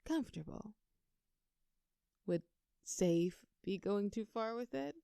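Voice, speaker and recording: A woman's voice: mid-range voice, expressive, slow pace, vocal fry, warm tone, clear, resonant tone, no room sound, studio quality. A young woman playing a bubbly, affectionate girlfriend in an intimate audio roleplay, talking playfully and tenderly to her partner in a coaxing, conversational way. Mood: tender, reminiscing.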